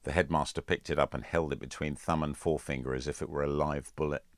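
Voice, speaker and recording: A man's voice: extremely low pitch, steady tone, fast talker, dry recording, clean studio sound. A man reading a scripted first-person story as an audiobook narrator. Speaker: author reading own audiobook.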